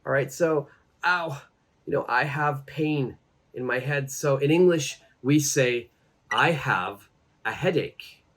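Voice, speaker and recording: A man in his thirties teaching English to camera, seated in a plain room, saying each phrase slowly and then repeating it. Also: mid-range voice, a bit bright. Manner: slow and deliberate, repeating each phrase twice. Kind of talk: slow, clear English lesson to camera. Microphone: camera framed at chest height, seated.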